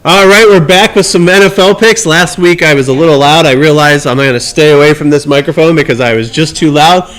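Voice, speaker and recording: A man's voice: a little high, steady tone, brisk, hardly any pauses, a bit bright. A man hosting a casual sports picks segment with conversational commentary. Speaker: football picks host.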